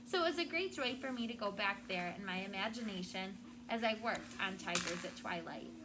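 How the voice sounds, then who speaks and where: A woman's voice: medium pitch, lively intonation, a little fast, very noisy. A woman schoolteacher reading aloud and talking to children in a classroom, explaining in simple words and checking in with questions.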